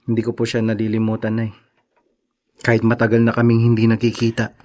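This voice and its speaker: A man playing a respectful, soft-hearted son in his late twenties in a Filipino radio drama. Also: on the low side, completely flat delivery, brisk, natural voice. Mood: wistful, hesitant. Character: respectful, lovelorn 28-year-old son home from abroad.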